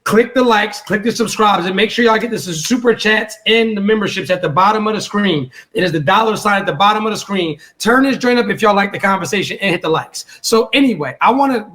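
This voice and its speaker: A man's voice: sits high, a bit bright. A man hosting a live podcast debate, moderating in a laid-back, casual way with lots of fillers. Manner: laid-back, filler-heavy, asks "right?" often.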